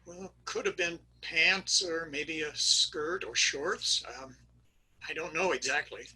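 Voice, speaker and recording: A man's voice: on the high side, takes it slowly, sharp tone, breathy edge, heavily acted, low-quality recording. A man playing a flustered middle-aged husband who hems and haws about his missing wife, read over a video call for a readers theater skit. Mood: vague. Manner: hesitant and vague about his wife, then eager and detailed about his truck.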